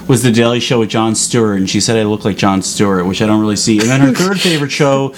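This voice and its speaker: A man's voice: fairly low pitch, fast, crowd noise. A man in a casual radio chat, talking in an easygoing way and rambling through little stories.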